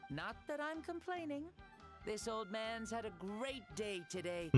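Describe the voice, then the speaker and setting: A man voicing a kindly, ancient male hero spirit in a video game, talking in a warm, nostalgic way. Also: high voice, lively intonation, a little slow. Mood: fond. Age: older. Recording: game audio with loud music.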